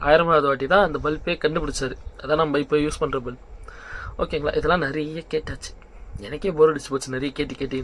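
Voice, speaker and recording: A young man giving informal motivational advice as a vlog host, conversational and mixing in English phrases, often checking in with the listener. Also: on the high side. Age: young adult. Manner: conversational, checks in with rhetorical questions.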